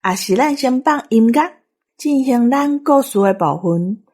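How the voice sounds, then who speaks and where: A woman's voice: a little high, animated, unhurried, darkish tone. A woman with a Taiwanese accent, introducing a programme as host.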